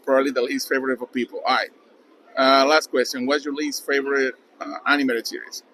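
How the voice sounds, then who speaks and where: A man's voice: medium pitch, level tone, a little fast, bright, forceful tone, slight background noise, rough recording. A man from Mexico with a thick Mexican accent, interviewing fans at a convention in a friendly, stammering way. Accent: Mexican Spanish. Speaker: interviewer and channel host. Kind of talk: casual fan interviews at a convention. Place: busy convention hall.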